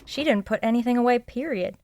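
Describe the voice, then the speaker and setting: A woman's voice: a little high, quick pace, background noise, natural voice. A woman playing a nosy, loyal small-town sidekick in an audio drama, with a frank, folksy and eager manner. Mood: chatty, awed. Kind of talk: audio drama dialogue acting.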